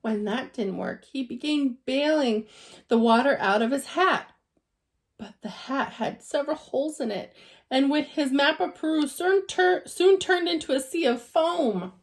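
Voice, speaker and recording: A woman's voice: high-pitched, unhurried. A woman teacher reading a children's storybook aloud with expression.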